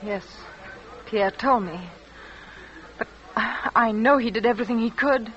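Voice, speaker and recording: Anxious high-voiced young wife, old radio. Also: female voice, unhurried, fairly bright tone. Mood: polite, defensive. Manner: hesitant, questioning, dramatic. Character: anxious, devoted young wife haunted by fear. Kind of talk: 1940s radio drama acting. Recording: dull old radio recording.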